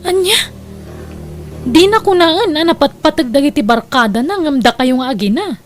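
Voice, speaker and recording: A woman's voice: sits high, animated, moderate pace. A woman playing an outspoken, indignant friend who advises bluntly in an audio drama, with an Ilocano accent. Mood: disapproving.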